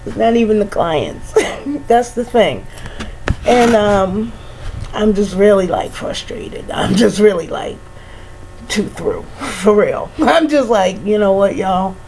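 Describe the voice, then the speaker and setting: A middle-aged woman with a head cold, musing slowly and casually, sighing and laughing softly between many "you know"s. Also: mid-range voice, lively intonation, slight background noise, rough recording. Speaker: vlogger. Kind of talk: casual reflective monologue.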